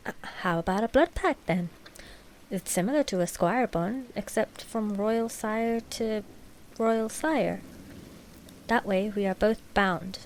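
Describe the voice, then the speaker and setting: A woman playing a doll-like vampire royal, sweet and scheming, speaking formally and deliberately in a scripted ASMR roleplay. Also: mid-range voice, a little hiss or hum, low-quality recording. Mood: formal, proposing.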